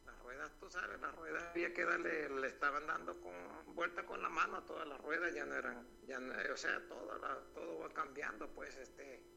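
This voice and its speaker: A man's voice: a little high, moderate pace, creaky, few pauses, pushed and bright. A man, calling in by telephone to a local radio show, talking in a devout, nostalgic way with many fillers. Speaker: phone-in caller. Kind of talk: casual phone chat. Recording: noisy phone line.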